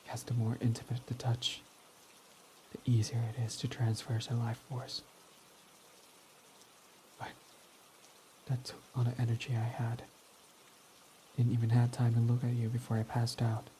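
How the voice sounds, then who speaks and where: A man's voice: mid-range voice, completely flat delivery, moderate pace, pauses often, dark tone, a little hiss or hum, natural voice. A man voicing a proud, defensive young male villain in an ASMR roleplay who swears when flustered, over faint rain. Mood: quiet, confessing. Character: proud tsundere young male villain, gruff but smitten.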